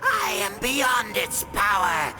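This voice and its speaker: A man's voice: high voice, wildly swooping pitch, takes it slowly, over music, exaggerated voice, rough recording. A man voicing a gloating, menacing alien villain in a video game, with theatrical, grandiose delivery. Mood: menacing, furious. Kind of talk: video game cutscene voice acting.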